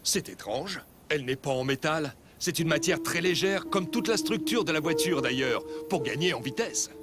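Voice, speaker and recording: A man's voice: a little high, expressive, moderate pace, close to an everyday voice. A man voicing a friendly racing driver in a children's cartoon, cheerful and explanatory in his delivery. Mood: explaining. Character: friendly adult race car pilot. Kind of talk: dubbed children's cartoon acting.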